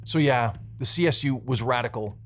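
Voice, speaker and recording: A man's voice: medium pitch, normal speed, soft background music. Man narrating a documentary podcast. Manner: conversational storytelling.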